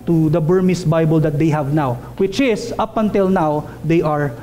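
Male preacher, thirties, high mellow voice, earnest, repeats lines for stress, headset mic in a small church hall with faint room hum. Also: normal speed. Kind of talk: sermon.

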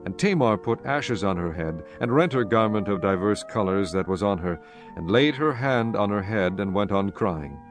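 A man with a lowish voice narrates scripture. His delivery is formal and solemn, like a storyteller, with music underneath. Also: lively intonation, normal speed. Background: background music.

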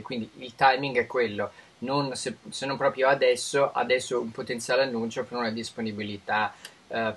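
A man from Italy answering interview questions as a tech expert, explaining in a measured, informative way with frequent restarts. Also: medium pitch, fairly bright tone, breathy edge.

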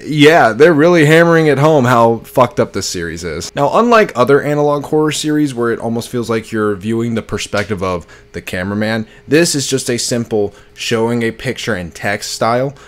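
A man's voice: mid-range voice, animated, moderate pace, hardly any pauses. Blunt, sweary young man. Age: young adult. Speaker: video reviewer of horror series. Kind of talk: casual commentary to camera. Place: bedroom, indoors. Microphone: close desk microphone, with headphones.

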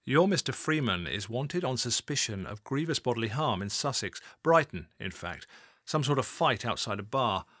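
A man voicing a matter-of-fact male police officer who speaks briskly and factually. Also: mid-range voice, sing-song, a bit bright, dry recording, studio quality. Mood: businesslike.

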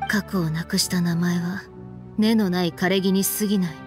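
An actress playing a stern, frank young woman in an anime game, speaking in a firm, reproachful, deliberate way. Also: on the low side, fairly even intonation, darkish tone, faint music behind, natural voice. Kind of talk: anime game voice acting, dramatic dialogue.

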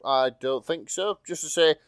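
A man co-hosting a podcast in relaxed, casual conversation. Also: fairly high pitch, normal speed, clean tone, no echo, clean studio sound. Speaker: podcast co-host. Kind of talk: casual chat.

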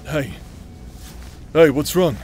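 A man's voice: fairly high pitch, lively intonation, slow pace, a little mellow. A man acting out a cocky, battle-worn adventurer, protective and teasing, in an audio roleplay.

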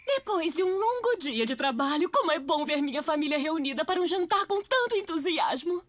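A woman playing a pleased working mother in an animated family cartoon. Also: very high-pitched, fairly quick.

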